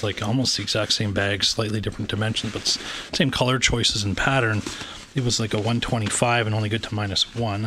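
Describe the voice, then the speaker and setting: A man's voice: lowish voice, noisy background. A Canadian man talking casually and hesitantly, with many fillers, while unpacking and reviewing camping gear indoors on a handheld camera. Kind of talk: casual unboxing commentary. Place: indoors, room with wooden floor. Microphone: handheld camera pointed down.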